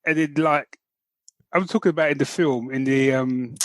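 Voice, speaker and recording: A man's voice: mid-range voice, steady tone, moderate pace, no echo, rough recording. A man co-hosting a podcast, chatting casually about geek news in a jokey, slangy, conversational way. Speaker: podcast co-host.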